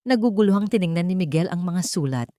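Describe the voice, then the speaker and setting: A woman narrating a scripted audiobook drama in a measured, emotional way. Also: on the low side, animated, warm tone, dry recording, clean studio sound.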